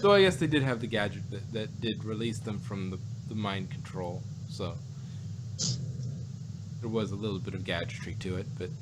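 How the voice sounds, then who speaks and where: A man chatting casually as a podcast host reviewing audio, in a rambling, halting way that often trails off. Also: lowish voice. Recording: very noisy background hum.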